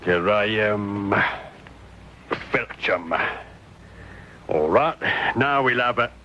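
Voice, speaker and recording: An actor playing a blustering, baffled London police sergeant in a radio drama. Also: male voice, on the low side, expressive, extremely slow, sharp tone, slight background noise, heavily acted. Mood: gruff. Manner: blustering, plain-spoken. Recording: dull lo-fi old radio recording.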